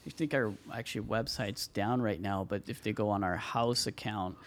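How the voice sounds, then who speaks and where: A man's voice: lowish voice, normal speed. A man who works as a tile contractor, telling stories as a podcast guest in a rambling way, full of fillers and "you know". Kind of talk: casual interview storytelling.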